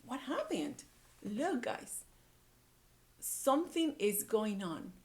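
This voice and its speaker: Slow, animated woman teaching young readers. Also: a little high. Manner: repeats words and phrases, coaxing listeners with questions. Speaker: early-grade reading teacher. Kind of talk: read-aloud lesson for young children.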